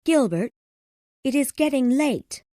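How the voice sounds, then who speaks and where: A man's voice: very high-pitched, lively intonation, takes it slowly, warm tone, no echo, studio quality, voice pushed up, heavily acted. A man reading a story aloud, voicing an anxious, sorrowful young woman in a pleading tone. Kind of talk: audiobook character voice.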